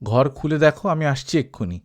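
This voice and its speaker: A man's voice: on the high side, lively intonation, moderate pace, natural voice. A man voicing a friendly, concerned village youth in an audiobook, speaking in a familiar, inviting way.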